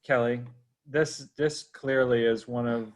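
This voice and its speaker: A man from Boston hosts a live online interview, his voice sitting at an ordinary middle pitch. He talks in a chatty, upbeat way and speaks straight to the viewers. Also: steady tone, slow pace. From Boston, USA. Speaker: host. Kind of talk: livestream interview.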